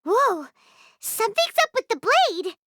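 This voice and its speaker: Tiny excitable fairy sidekick girl from a video game, super high n squeaky, really animated. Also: unhurried, no echo, clean studio sound, heavily acted. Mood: startled, puzzled. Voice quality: squeaky, childlike. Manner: expressive, exclamatory, refers to herself by name. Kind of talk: video game character dialogue.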